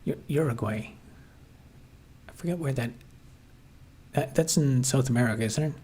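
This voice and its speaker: Casual streamer, male, husky mid-pitched voice, hesitant and rambling, answers chat between thoughts. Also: moderate pace, darkish tone. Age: adult, grew up watching 1990s TV. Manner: hesitant, many fillers and restarts, musing. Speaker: livestream host reading chat.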